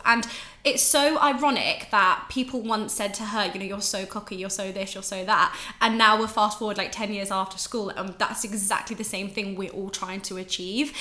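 A woman's voice: mid-range voice, sharp tone, roomy sound. A woman in her twenties with an English accent, a podcast guest talking candidly and casually, swearing now and then. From England, raised in Ireland. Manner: chatty, candid, swears, laughs often.

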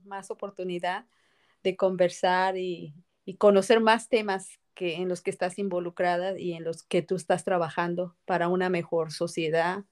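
A woman co-hosting a casual talk show, thanking a guest and laughing along. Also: on the low side. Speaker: podcast co-host. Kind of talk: casual chat.

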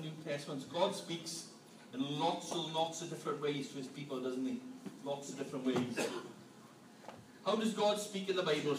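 A man's voice: a little high, recorded from a distance. A man leading an interactive family sermon in a church hall, playfully quizzing the congregation. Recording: echoey hall, mic from afar, room noise.

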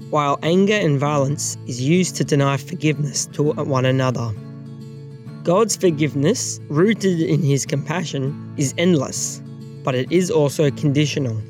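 A man's voice: on the high side, moderate pace. A man presenting a religious programme in scripted narration, introducing readings and reflections. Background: plucked guitar music.

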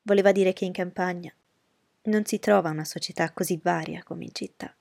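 A woman's voice: lowish voice, steady tone, brisk, vocal fry, darkish tone, no room sound. A woman reading as a witty young woman.

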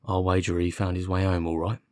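A man's voice: low-pitched, little pitch movement, a little fast, a little mellow, no echo, clean studio sound, close to an everyday voice. A man voicing a defensive, dim working-class police constable with colloquial, ungrammatical speech in an audiobook. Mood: aggrieved. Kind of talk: audiobook character dialogue.

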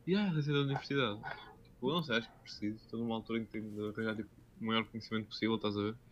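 Very fast-talking young Portuguese man, dull recording. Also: on the low side, steady tone. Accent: European Portuguese. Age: young adult. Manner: slangy, informal. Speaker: podcast co-host. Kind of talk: casual chat. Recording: dull, lo-fi sound.

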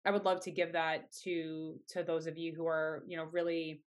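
A woman's voice: low voice, level tone, moderate pace. A woman guest on a health podcast, speaking in a soothing, earnest way about healing and self-love. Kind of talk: casual podcast interview.

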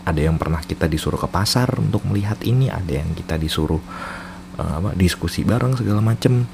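A man's voice: low voice, lively intonation, quick pace, gravelly, background noise. A man with a Jakarta Indonesian accent telling personal stories casually, slipping into English and slang, in a self-mocking way. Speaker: storyteller host. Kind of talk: casual personal storytelling with English code-switching.

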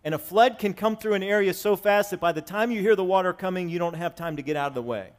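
A man in his forties preaching a sermon to his congregation, swinging between raised, emphatic lines and quiet, conversational asides. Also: high-pitched, fast. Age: 40s. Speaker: pastor. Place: church sanctuary with congregation.

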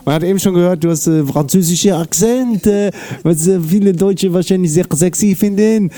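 A man hosting a podcast, interviewing in a relaxed, slangy, upbeat way. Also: sits high, normal speed. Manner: upbeat, laid-back, slangy. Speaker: podcast host. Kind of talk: casual interview chat. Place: outdoors at an evening camp.

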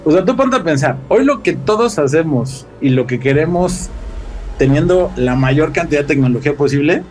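A Mexican man talks as a guest on a casual podcast. His voice sits a little higher than most men's. He tells things in an animated, slangy way and swears freely. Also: normal speed, poor audio.

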